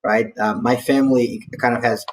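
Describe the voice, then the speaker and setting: A man's voice: mid-range voice, fairly even intonation, moderate pace. A man from Brazil speaking conversationally and tentatively as a panelist. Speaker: panelist, corporate leader.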